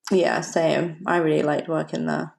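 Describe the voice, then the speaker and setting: A woman in her thirties from Liverpool with a softened Liverpool accent, a podcast guest chatting in a rambling way and laughing often. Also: low-pitched, flat delivery, unhurried, gravelly. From Liverpool, England. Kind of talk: casual chat.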